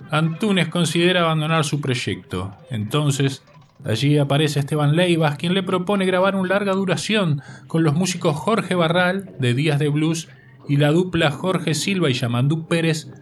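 A man with a Uruguayan accent reads a scripted biographical narration as the presenter of a radio podcast. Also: fairly high pitch.

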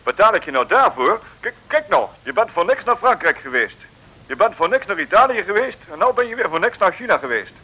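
Grumpy colonel in an old radio play, high sharp voice, scolding. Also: male voice, sing-song, brisk, heavily acted, poor audio. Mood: outraged. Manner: gruff, exclamatory, scolding. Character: blustering, irritable male colonel and spy chief. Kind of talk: old radio serial acting.

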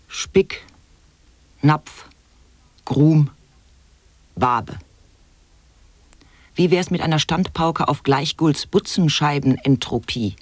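A man's voice: fairly high pitch, very slow, long pauses, no echo. An actor playing an eccentric man who declaims absurd wordplay in staccato lists for an experimental radio drama. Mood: declamatory.

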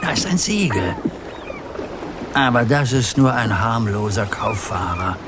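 A man's voice: medium pitch, big pitch swings, very noisy. An actor playing an old grandfather who acts as a ship's captain, humouring and nautical, in a German children's radio play. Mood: commanding, nautical. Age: older. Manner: unhurried, humouring, mock-nautical.

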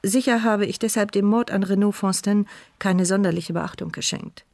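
Observant young woman played by an actress, inner-monologue narrator for radio drama, mid-pitched, measured, articulate. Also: creaky, darkish tone, dry recording, lightly acted. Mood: reflective. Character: paralysed young woman, observant, inwardly narrating her story.

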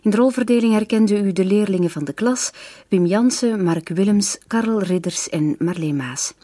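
Dark husky Flemish woman announcer. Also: mid-range voice, normal speed. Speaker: radio announcer. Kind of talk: scripted closing credits.

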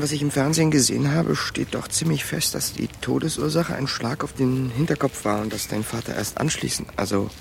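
An actor playing a smooth-talking small-time crook in a radio crime drama, wry and rambling, both talking and narrating. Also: male voice, medium pitch, noisy background. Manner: wry, hesitant, rambling in dialogue.

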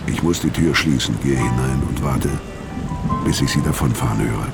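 A weary old killer in a radio play. His voice sits extremely low and crackles with gravel. He speaks gravely and tersely, with music behind him. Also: moderate pace. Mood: somber, reflective. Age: older. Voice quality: very deep, gravelly. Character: weary, gravelly aging ex-killer facing death, narrating. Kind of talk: radio drama dialogue and first-person narration.

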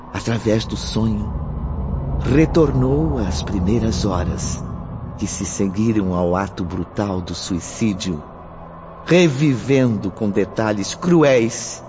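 Slow, solemn male narrator. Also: fairly low pitch, noisy background. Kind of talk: scripted radio drama narration. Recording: dull audio with music underneath.

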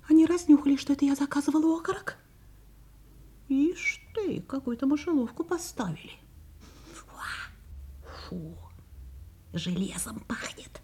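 A cunning, self-satisfied vixen from a children's audio play. Her voice sits high and glides freely up and down. She takes her time, muttering craftily to herself in a singsong way. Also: dark tone. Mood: indignant, boastful. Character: sly, vain, clever female fox of storybook.

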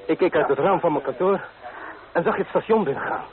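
A man's voice: on the high side, quick pace, lightly acted. An actor in a radio drama playing an ardent, devoted young man in love, speaking romantically. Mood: relieved, tender. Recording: tinny, noisy old radio recording.

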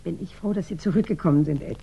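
A woman's voice: on the low side, fast, vocal fry, slight background noise. An actress playing a devoted, anxious younger woman in a 1960s German radio crime play, earnest and hesitant. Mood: relieved, devoted. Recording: dull 1960s radio recording.

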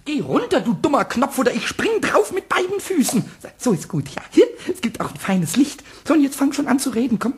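A man voicing a small mischievous, childlike kobold with a high, squawky voice, chattering excitably in a children's radio play. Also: fairly quick, few pauses, full character voice. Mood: bossy, delighted. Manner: chattering to himself, giggly, singsong.

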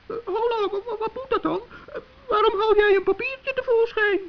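High, fretful little gnome. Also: steady tone, normal speed. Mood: alarmed. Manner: fretful, earnest, stammering when upset. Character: good-hearted, easily alarmed little male gnome Paulus. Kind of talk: 1960s children's radio play acting. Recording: dull, muffled old radio recording.

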